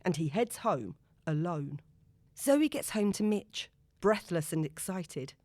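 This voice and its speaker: A woman audiobook narrator reading a novel in an expressive, dramatic storytelling manner. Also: fairly low pitch, slow pace, no echo.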